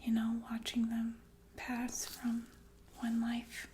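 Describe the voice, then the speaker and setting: A woman playing a calmly menacing, sadistic killer nurse in an intimate, deliberate ASMR roleplay full of pensive pauses. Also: a little high, a little hiss or hum. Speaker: ASMR performer. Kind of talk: ASMR roleplay monologue in whispers.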